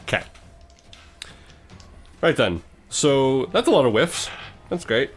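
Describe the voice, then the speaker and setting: A man's voice: mid-range voice, sing-song, slow pace, breathy edge. A man running a tabletop game as game master, improvising narration of the action and handling rules. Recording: music behind the voice. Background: music.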